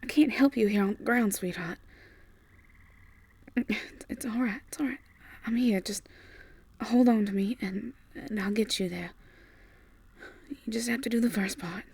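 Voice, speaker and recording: A woman playing a tender, worried Southern US farmer sweetheart in an audio roleplay, in a breathy, husky voice that is often near a whisper, with a soft, halting drawl. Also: medium pitch, full character voice. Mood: anxious, soothing. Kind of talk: ASMR audio roleplay acting.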